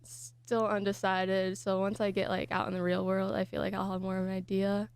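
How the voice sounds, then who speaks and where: A woman's voice: mid-range voice, completely flat delivery, normal speed, smooth, no echo. A young woman, a graphic design student, shyly answering interview questions and trailing off with fillers.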